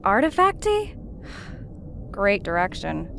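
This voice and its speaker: A woman's voice: mid-range voice, wildly swooping pitch, takes it slowly, creaky, heavy background noise, full character voice. A woman playing a blunt, sarcastic, squeamish member of an exploration crew in an audio drama. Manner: sardonic, blunt.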